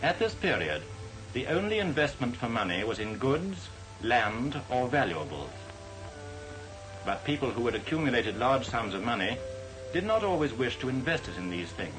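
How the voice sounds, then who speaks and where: Animated man narrating a vintage film. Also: medium pitch, poor audio. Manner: formal, measured, didactic. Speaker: documentary narrator. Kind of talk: scripted narration, 1940s educational film. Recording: vintage 1940s film soundtrack. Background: music.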